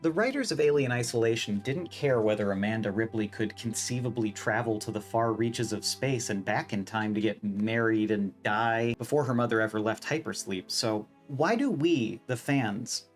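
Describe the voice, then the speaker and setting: A video essayist of mid pitch narrates over soft music. The delivery is scripted but rambles into casual, self-deprecating asides, with some casual swearing.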